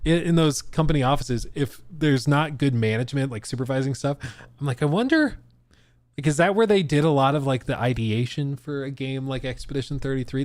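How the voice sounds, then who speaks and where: A man's voice: mid-range voice, no echo. Chatty man hosting a casual gaming podcast. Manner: chatty, informal, slangy. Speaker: podcast host. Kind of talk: casual livestream gaming news commentary.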